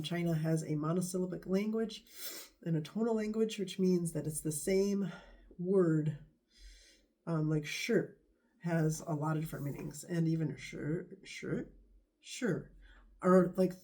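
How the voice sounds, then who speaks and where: A stuffy-nosed talks to the camera in a loose, meandering vlog, stopping often to find the next thought. The voice sits in a mellow, darker register, muffled by a head cold. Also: medium pitch. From United States. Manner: rambling, hesitant, many pauses. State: stuffy nose from a bad cold. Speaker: vlogger. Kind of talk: casual talking-to-camera vlog.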